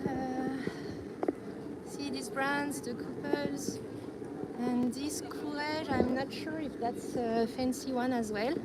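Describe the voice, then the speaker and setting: A woman walking outdoors on busy streets, chatting casually on a live stream and often pausing to search for words. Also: sits high, steady tone. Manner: hesitant, searching for words, light laughs. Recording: loud street noise.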